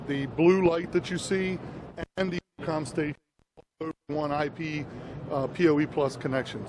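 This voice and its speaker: A middle-aged man giving explanatory product talk as a company representative, into an interviewer's handheld microphone. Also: on the high side. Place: large busy trade show hall, indoors. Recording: noisy exhibition hall behind voice.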